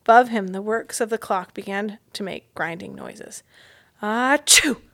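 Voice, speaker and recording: Female storyteller for a children's chapter book, noticeable creak in the voice, expressive and deliberate delivery. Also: mid-range voice. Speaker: mystery guest reader, school staff. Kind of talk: children's audiobook read-aloud.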